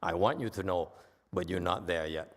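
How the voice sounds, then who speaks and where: A man's voice: low-pitched, normal speed. A man with a Vietnamese accent giving a Buddhist dharma lecture in a temple hall, blunt and teasing, often checking in with "okay?". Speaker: Buddhist teacher.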